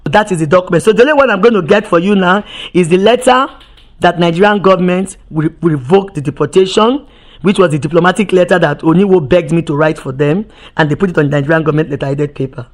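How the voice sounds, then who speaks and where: A woman's voice: fairly low pitch, fast. A woman activist giving impassioned testimony, emphatic, often repeating and restarting words and firing off rhetorical questions.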